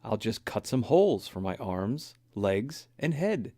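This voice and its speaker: A man's voice: on the low side, big pitch swings, a little slow, warm tone, no echo, studio quality, exaggerated voice. A man voicing a decisive, enthusiastic young girl bear cub in an earnest children's storybook reading.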